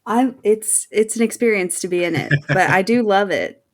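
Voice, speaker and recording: A woman's voice: medium pitch, expressive, normal speed. A woman speaking as a podcast panelist in casual, conversational chat.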